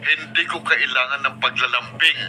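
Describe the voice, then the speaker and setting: A man's voice: mid-range voice, fairly even intonation, normal speed, bright, forceful tone, soft background music. An actor playing a stern, cold, short-tempered husband and stepfather in a Filipino radio drama. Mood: angry, harsh.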